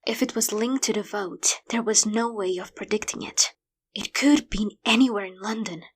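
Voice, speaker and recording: A woman playing a determined, urgent young investigator in an audio drama, with a husky voice. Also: medium pitch, moderate pace, natural voice. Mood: focused.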